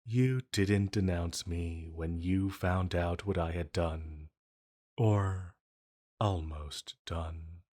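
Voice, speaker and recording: A man playing an ancient banished villain in a roleplay audio drama, flirty and condescending, with a measured, silky, intimate delivery. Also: sits low, darkish tone, full character voice. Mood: condescending, charmed.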